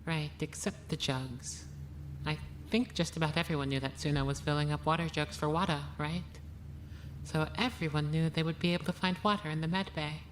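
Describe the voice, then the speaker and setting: Woman acting low, composed young female sleuth. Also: fairly even intonation, slight background noise, natural voice, rough recording. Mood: calm, analytical. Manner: measured, methodical, matter-of-fact. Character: composed, analytical young woman investigating a murder. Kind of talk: animated murder-mystery voice acting.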